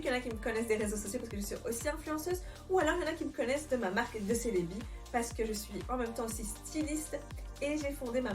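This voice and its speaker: A young woman from Belgium speaks to her viewers in a fairly high voice, earnest and reflective, pausing and restarting her sentences as she thinks. She sounds a little distant from the microphone, with soft music playing underneath. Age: 20s. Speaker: vlogger. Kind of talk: personal storytelling to camera.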